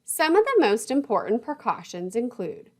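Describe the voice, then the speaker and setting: A woman's voice: a little high, wildly swooping pitch, moderate pace. A woman lecturer reading scripted instructional material in a methodical, didactic way, setting out terms and lists one by one.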